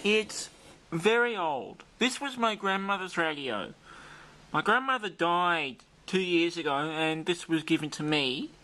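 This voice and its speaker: A man's voice: fairly high pitch, animated, unhurried, pushed and bright. An Australian man casually presenting a demonstration video, informal and rambling as he thinks aloud. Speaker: video host demonstrating a vintage radio.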